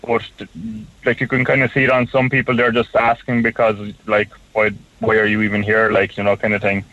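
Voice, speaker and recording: A man's voice: fairly low pitch, monotone, a little fast, a bit bright, no echo. A man from Hungary calling into a radio show, rambling and full of "like, you know" fillers. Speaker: caller. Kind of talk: phone-in call. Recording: tinny phone line.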